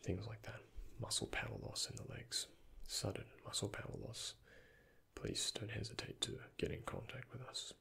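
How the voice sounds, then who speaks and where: A young man speaking in a breathy, hushed ASMR whisper, gently and unhurriedly, close to the microphone as in a doctor roleplay. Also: on the low side, big pitch swings, moderate pace. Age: young adult. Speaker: ASMR creator playing a doctor. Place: indoors, close before a dark backdrop.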